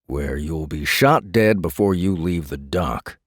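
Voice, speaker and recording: An actor playing a steady, probing renegade space marshal, protective and dryly teasing, speaking in a measured, coaxing way in audiobook drama dialogue. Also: male voice, extremely low pitch, no echo, clean studio sound, full character voice.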